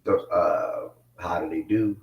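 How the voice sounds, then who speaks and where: Low-voiced young man, far off in an echoey room. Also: slow, muffled recording. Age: 20s. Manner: rambling, laid-back, many fillers. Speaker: sports show host. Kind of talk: casual live sports talk. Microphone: mic not connected, picked up from a distance.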